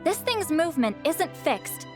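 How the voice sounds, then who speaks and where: High-voiced, playful self-styled granny shaman. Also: big pitch swings, a little slow, over music. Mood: self-assured. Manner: playful, self-assured, theatrical. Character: youthful-sounding female shaman calling herself Granny, playful and boastful. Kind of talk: anime game voice acting.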